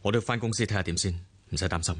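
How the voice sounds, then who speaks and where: An actor playing a calm, composed businessman in a radio drama, with a measured, reassuring Hong Kong Cantonese delivery. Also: on the low side, lightly acted.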